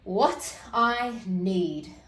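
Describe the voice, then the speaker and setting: A woman's voice: mid-range voice, expressive, very slow, fairly bright tone, distant mic. Woman acting a grumpy big bad storybook wolf, echoey. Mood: weary. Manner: growling, snarling, exclaiming. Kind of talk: children's storybook character voice.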